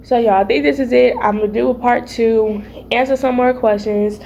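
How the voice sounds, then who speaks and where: A raspy-voiced teenage girl talking casually about her faith at home, chatty and playful, calling her viewers y'all and baby. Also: a little high, steady tone, normal speed, warm tone, highs cut off. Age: teens. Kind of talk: casual faith vlog chat. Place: home, early morning.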